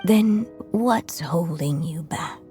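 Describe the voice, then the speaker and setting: A gentle mother's echo from a fantasy game, speaking very slowly and solemnly in a soft, mellow tone. She is tender and measured, with music under her words. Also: fairly low pitch. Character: gentle late mother, a storyteller's magical echo. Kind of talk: scripted game voice acting.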